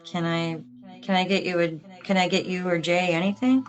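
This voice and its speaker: An actress reading an inquisitive, reserved but friendly police officer in a film script, casual and understated. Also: female voice, on the low side, little pitch movement, moderate pace, lightly acted. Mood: apologetic, gentle. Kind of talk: table read of a film script.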